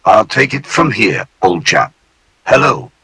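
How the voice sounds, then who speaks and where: A man voicing a polite, formal male AI supercomputer that guides trainees in a calm, courteous, clipped manner. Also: lowish voice, a little slow, background noise. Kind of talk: scripted narration for an analog horror tape.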